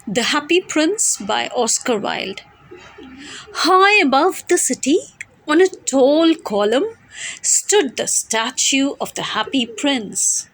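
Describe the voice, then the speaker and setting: A woman with a high-pitched voice presents material as an amateur storyteller. Her pace is very slow and deliberate. She phrases carefully and expressively. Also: expressive, a bit bright, rough recording. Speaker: amateur storyteller and channel host. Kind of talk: dramatised story reading.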